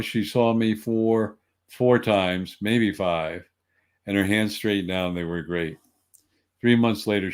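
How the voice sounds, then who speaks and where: An older man with a very deep, low voice delivers an earnest motivational talk about wellness. He speaks steadily, then breaks into lively bursts of emphasis on the points he cares about.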